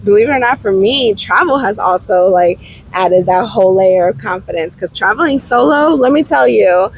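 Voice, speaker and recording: A woman's voice: medium pitch, moderate pace, slightly rough. A woman whose first language is Spanish, a guest telling enthusiastic stories in a casual interview chat. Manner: lively, enthusiastic storyteller. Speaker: guest, travel business founder. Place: outdoors on a beach.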